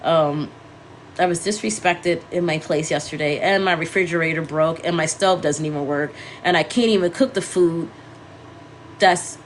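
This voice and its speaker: A congested, scratchy-throated woman hosting a casual livestream, rambling and correcting herself as she talks to viewers. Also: on the low side. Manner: meandering, self-correcting, talking to viewers. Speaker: livestream host.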